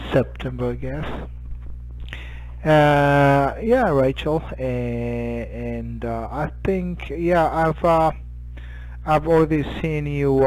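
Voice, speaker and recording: A man's voice: mid-range voice, a little mellow, poor audio. A man in his fifties with a Japanese accent, chatting casually as an amateur radio operator, hesitant and searching for words. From Hokkaido, Japan. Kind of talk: casual radio contact chat.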